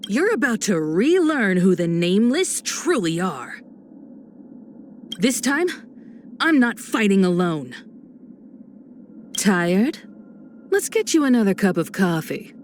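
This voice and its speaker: Casting: elegant adult woman navigator for a video game, unhurried, lively intonation, reassuring poise. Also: mid-range voice, slight background noise. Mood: resolute, rallying, then gentle. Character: graceful, composed, warm adult woman, spacefaring train navigator. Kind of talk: polished game voice acting.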